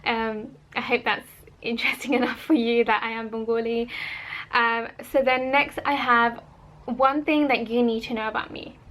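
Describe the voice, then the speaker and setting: High-pitched young woman, chatting casually. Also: fairly even intonation, normal speed, a bit bright. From born in Holland, of Bangladeshi family. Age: 20s. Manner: informal, rambling, self-interrupting. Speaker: vlogger. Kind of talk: casual chatty personal vlog.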